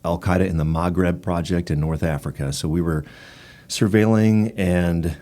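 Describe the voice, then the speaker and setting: Very deep-voiced middle-aged man, talkative. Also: steady tone, normal speed, warm tone. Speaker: podcast guest, former military physician assistant. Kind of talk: conversational storytelling.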